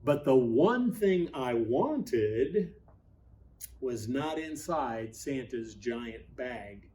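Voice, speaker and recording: A man, a pastor, reads a children's storybook aloud expressively, with dramatic pauses. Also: medium pitch, expressive, dark tone, a little hiss or hum.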